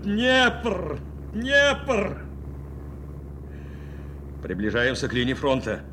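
An actor playing an anxious, dutiful bomber navigator in a radio play, with earnest, intense delivery. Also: male voice, fairly high pitch, huge pitch swings, extremely slow, noisy background, full character voice. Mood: urgent shouting. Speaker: actor in an audio drama. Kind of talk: Soviet radio play acting, dramatic narration and dialogue.

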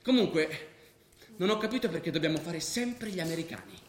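A man's voice: on the high side, expressive, brisk, lightly acted. A man acting the part of a restless, idealistic, rebellious young man in a theatrical radio drama. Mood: animated storytelling. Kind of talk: theatrical radio drama, dialogue and first-person narration. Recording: roomy stage sound with faint noise.

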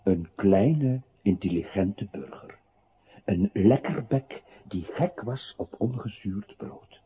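An actor playing a scornful narrator in a radio drama, sardonic and theatrical, with a very raspy voice. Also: male voice, fairly low pitch, animated, slow pace, full character voice. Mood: mocking. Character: mocking, scornful male narrator belittling a pitiful man. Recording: muffled phone-like old radio recording.

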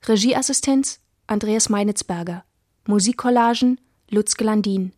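A woman's voice: mid-range voice, a little slow, darkish tone, no echo, clean studio sound. A woman announcer reading scripted radio play credits.

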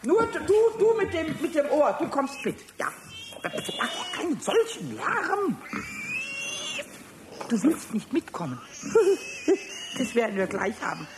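A man's voice: takes it slowly, exaggerated voice. A man voicing a cheeky, mischievous little male kobold who giggles, stammers and chatters to himself in a 1970s radio play. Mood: gleeful. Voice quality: high, squeaky and stammering. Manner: giggling, excitable, talks to himself. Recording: noisy 1970s radio play with farm animal sounds.

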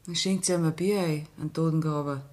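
An actress with a Bavarian accent playing a composed, grieving farmer's widow in a radio play. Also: female voice, sits low, fairly even intonation, moderate pace, a little mellow, lightly acted. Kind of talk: 1960s radio play acting.

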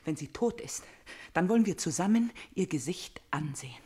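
An actress playing a tormented, vengeful princess in a German radio drama, theatrical and moving between breathy whispers and fierce outcry. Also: female voice, fairly low pitch, expressive, normal speed, mellow. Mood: hushed, bitter. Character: tormented, vengeful princess, fevered and obsessive, grieving her father.

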